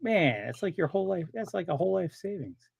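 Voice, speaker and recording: Guy joking around on stream, bouncy pitch, gravelly edge. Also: sing-song, a little mellow. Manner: joking, quick reactions. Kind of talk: casual chat.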